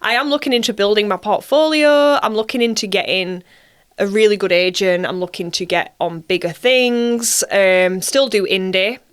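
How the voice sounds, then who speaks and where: A British woman with a clear, smooth voice at an everyday mid pitch. She talks casually and enthusiastically as an interview guest, in a chatty, conversational way. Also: normal speed.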